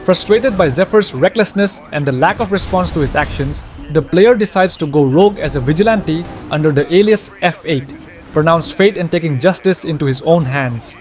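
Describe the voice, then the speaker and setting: Casting: male recap narrator, fairly high register, scripted storytelling delivery, faint music behind. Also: animated, moderate pace, darkish tone.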